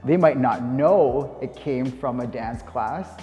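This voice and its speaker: Reverberant Canadian man in his thirties, mellow delivery. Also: mid-range voice, slow pace. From Winnipeg, Canada. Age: 30s. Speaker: dance teacher. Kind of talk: informal interview monologue. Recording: long reverb, music underneath. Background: music.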